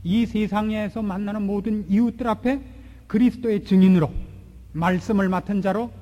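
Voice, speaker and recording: A man in his forties preaching a sermon, asking rhetorical questions and swinging between loud and hushed delivery. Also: high voice, steady tone, moderate pace. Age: 40s. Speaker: pastor. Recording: long hall reverb.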